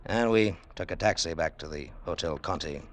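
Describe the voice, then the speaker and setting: Hardboiled lead: low voice, cool, commanding, wry, unhurried, golden-age radio thriller narrator. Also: male voice, a bit bright, a little hiss or hum. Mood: wry, reflective. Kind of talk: 1940s-50s radio drama acting.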